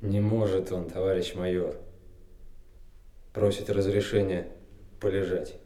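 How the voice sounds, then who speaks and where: An actor playing a strained young army corporal, a driver making terse military reports in a radio drama. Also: male voice, low-pitched, flat delivery, unhurried, warm tone. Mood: anxious. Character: strained young soldier, a corporal driving munitions in danger. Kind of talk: Soviet radio drama acting. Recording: echoey radio drama with vehicle sound.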